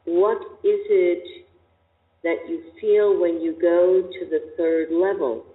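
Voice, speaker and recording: Woman vocal coach, slow and emphatic, tinny sound in a big hall. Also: lowish voice, fairly even intonation. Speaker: voice teacher. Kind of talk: coaching conversation.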